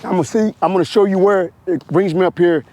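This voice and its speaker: A man with a hoarse voice, a motorcycle instructor coaching a slow-speed riding exercise step by step, repeating short cues, recorded outdoors on a wireless mic. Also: sits high, fairly even intonation, darkish tone.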